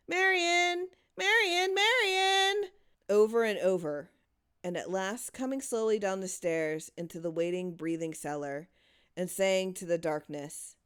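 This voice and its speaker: A woman's voice: lowish voice, a little mellow, clear, resonant tone. A woman narrating a horror short story in a dramatic reading style.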